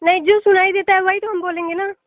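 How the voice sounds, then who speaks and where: A young woman presses a complaint on a recorded phone call. Her voice is extremely high and dry, with no room sound. She sounds aggrieved and keeps restating her point. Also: fairly even intonation, fast, no room sound. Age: young adult. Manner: insistent, aggrieved, repeating points. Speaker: caller, a police officer's daughter. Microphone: telephone call.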